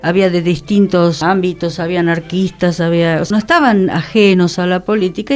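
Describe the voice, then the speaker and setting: A woman's voice: medium pitch, a little mellow. A mature woman with a Rioplatense Argentine accent, hosting a conversational radio talk in a reflective way, letting phrases draw out. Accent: Argentine Rioplatense Spanish. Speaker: radio host.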